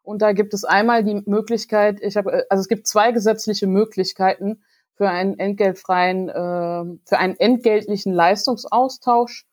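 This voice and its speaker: A woman lawyer guesting on a podcast, giving measured, formal explanations with some hesitations. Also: medium pitch, steady tone, darkish tone. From Germany, grew up in Hesse. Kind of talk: explaining in an interview. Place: remote call.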